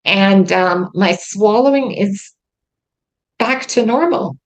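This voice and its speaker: A woman hosting a casual chat, talking earnestly about her treatment with many pauses and ums. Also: medium pitch. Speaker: host. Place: lives in Quebec.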